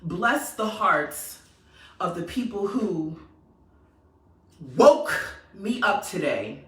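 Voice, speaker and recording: A woman's voice: mid-range voice, drawn-out words, echoey room, distant mic. A woman from New York with a New York accent, ranting passionately and sassily straight to her audience with emphatic repetition. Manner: animated, sassy, emphatic repetition. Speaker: vlogger. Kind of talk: passionate direct-to-camera rant.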